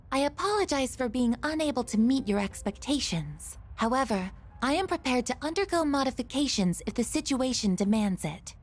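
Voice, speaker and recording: High-voiced, formal female android. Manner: formal, precise, earnest robotic phrasing. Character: polite, dutiful female android combat weapon. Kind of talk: anime video game voice acting.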